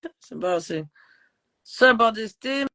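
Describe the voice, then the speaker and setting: A woman's voice: a little high, lively intonation, normal speed, fairly bright tone. A woman from Portugal and Switzerland hosting a casual live interview, informal and given to repeating her words. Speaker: podcast host.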